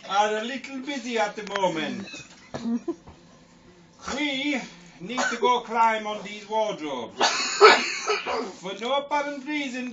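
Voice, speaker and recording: A man voicing a fussy grandmother in her seventies, lying in bed and talking in a prim, flustered falsetto. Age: 70s. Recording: distant lo-fi home recording with hiss.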